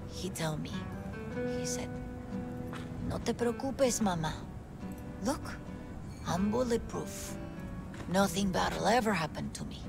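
A middle-aged mother speaks English with a Spanish accent, slowly and with a gravelly creak. She is solemn, halting and stoic in her grief, a game drama performance with loud plucked guitar music behind. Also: medium pitch, animated, exaggerated voice. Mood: grieving, tender. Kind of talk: dramatic video game storytelling.

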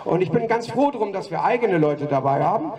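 A man giving a halting protest speech at an open mic on a city street, with many fillers and restarts, speaking into a handheld mic. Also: sits high, sing-song, a little mellow, recorded from a distance. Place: city street outside a broadcast building. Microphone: handheld mic, far camera.

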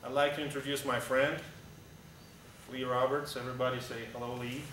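A man's voice: mid-range voice, little pitch movement, a little fast, a bit bright. A man in his thirties from Russia with a Russian accent, giving a casual, blunt slide talk as an airline pilot, with frequent "uh"s and restarts. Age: 30s. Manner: conversational and blunt, with frequent "uh" and restarts, gesturing while standing. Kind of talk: informal slide presentation to a group. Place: large echoey hangar-like meeting room indoors. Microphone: camera at the back of the room. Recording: echoey room, distant camera, background hum.

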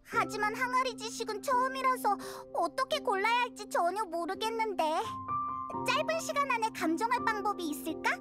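A woman voicing a tiny, naive, curious fairy girl in a video game, with a cutesy, expressive, childlike delivery. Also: extremely high pitch, brisk. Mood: unsure. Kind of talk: video game character dialogue. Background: prominent game music.

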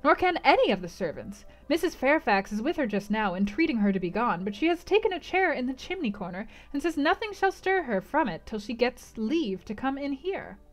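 A woman's voice: high voice, very animated, brisk, few pauses, soft background music, pitched above the performer's own voice. A woman doing a plain-spoken footman. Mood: deferential.

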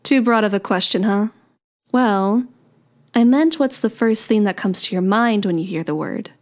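A woman playing a playful, sweet young daughter of Cupid in an ASMR romance roleplay, coy and gently teasing. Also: medium pitch, moderate pace, close to an everyday voice. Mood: warm, playful.

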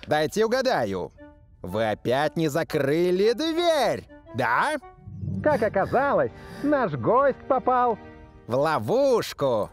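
An old cartoon mad scientist with a very high voice. He is grandiose and short-tempered, scolding theatrically in wide swoops, with prominent music behind him. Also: wildly swooping pitch, slow, exaggerated voice. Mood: exasperated. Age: older.

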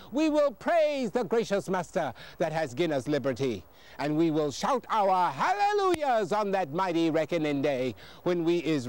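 A man's voice: high voice, a little mellow. An older man performing a fervent old preacher of the slavery era, reciting in a rhythmic preaching cadence in old Southern dialect. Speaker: poetry performer. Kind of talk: dramatic poetry recitation.

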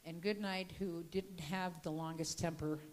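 An older woman with a slightly low voice tells local history in a folksy, chatty way, often restarting her words. She speaks into a handheld mic in an indoor gallery room during a slide presentation. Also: steady tone, a little slow.

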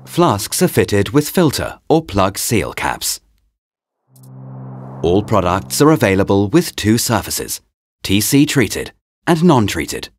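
A man reading a scripted promotional voice-over in short, deliberate, presentational phrases, as a product narrator. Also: fairly low pitch, wildly swooping pitch. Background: background music.

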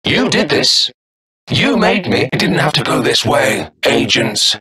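A man's voice: medium pitch, sing-song, a little slow, echoey room, big-room sound, distant mic, crowd noise. A man voicing a vengeful robot arena announcer in a video game, taunting and mock-polite with gleeful menace. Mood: bitter, vengeful.

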